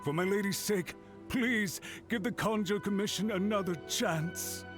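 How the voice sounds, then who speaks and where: An actor playing a highly strung retainer of a noble house in a video game, formal and earnest. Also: male voice, unhurried. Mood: remorseful, pleading. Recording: music clearly behind.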